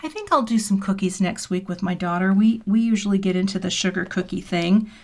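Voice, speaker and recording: A woman's voice: medium pitch, brisk, darkish tone. Chatty fifty-something woman crafting live. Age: 50s. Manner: chatty and self-deprecating, muttering half-whispered asides while working. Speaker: card-making livestream host. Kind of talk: casual crafting livestream chat. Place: home craft room.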